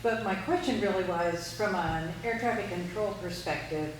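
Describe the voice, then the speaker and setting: Woman, slightly low pitch, conversational questions, distant, heavy echo, clear background noise. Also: moderate pace. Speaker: audience member asking questions. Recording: very echoey room, distant, noisy.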